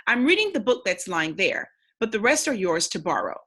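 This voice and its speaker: A woman voicing a kindly, bookish old sailor from a storybook, in a courteous, measured way. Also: mid-range voice, very animated, a bit bright, husky. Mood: gentle, hospitable.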